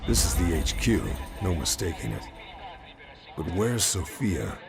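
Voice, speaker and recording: A man voicing a gruff, laconic, unflappable wartime commando lieutenant in a video game, terse and deadpan. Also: a little slow, over loud music, exaggerated voice. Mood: low, musing. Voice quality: very deep, gravelly. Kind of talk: video game cutscene acting.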